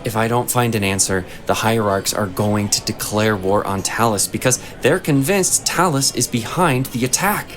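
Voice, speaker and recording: An actor playing a shrewd, composed male inquisitor in a fantasy audio drama, speaking in a measured, persuasive way. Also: on the low side, soft background music, close to an everyday voice. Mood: earnest, persuasive.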